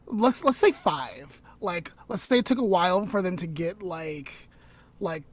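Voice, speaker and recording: A woman casually retelling a story to her podcast co-host, unhurried, often trailing off into a soft near-whisper, with chatty asides and self-corrections. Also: mid-range voice, expressive.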